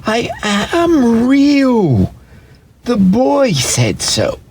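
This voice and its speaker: A man's voice: very high voice, wildly swooping pitch, extremely slow, darkish tone, breathy edge, pitched above the performer's own voice, full character voice. A man voicing a small, wistful, defensive male velveteen toy rabbit in a dramatic storybook reading, plaintive and insistent. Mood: upset, insistent.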